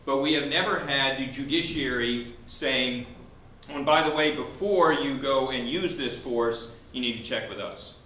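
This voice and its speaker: A man's voice: mid-range voice, moderate pace, few pauses, pushed and bright. A man who is a law professor arguing a legal point in a debate, explaining step by step and posing questions that he answers himself. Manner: argumentative, explanatory, poses and answers own questions. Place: indoor room at a law school event. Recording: roomy echo with faint background noise.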